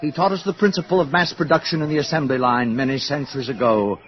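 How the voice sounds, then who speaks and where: An actor playing a pompous, fawning middle-aged director who lectures in a 1950s radio drama style. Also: male voice, on the high side, fairly even intonation, fast talker, natural voice. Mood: smug lecturing. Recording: dull old radio broadcast recording.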